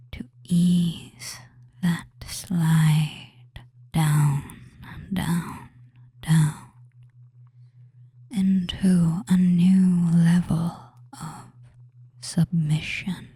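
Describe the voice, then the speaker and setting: A person whispering a soothing hypnosis roleplay in ASMR style as a doting, controlling fantasy witch, with drawn-out phrases and pauses. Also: mid-range voice, level tone, dark tone, no echo. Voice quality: breathy, mostly unvoiced whisper.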